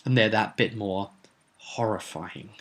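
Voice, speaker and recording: A man with a fairly low voice speaks as a game master giving a casual recap. He rambles conversationally and leans on certain words for dramatic weight. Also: a little slow. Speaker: game master recapping own tabletop roleplay session. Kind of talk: casual vlog recap to viewers.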